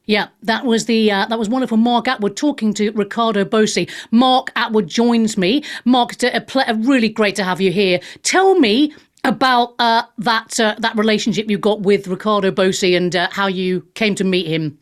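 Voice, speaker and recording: A woman's voice: medium pitch, normal speed, hardly any pauses. A woman hosting a radio show in a chatty, conversational way, often stumbling over and repeating her words. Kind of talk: casual radio interview chat.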